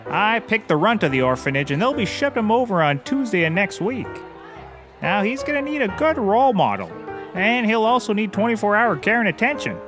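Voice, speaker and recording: A man's voice: sits high, very animated, fairly quick, over music. A man playing a hapless, scatterbrained private eye in a scripted audio comedy, rambling earnestly and cheerfully oblivious. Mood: eager, rambling.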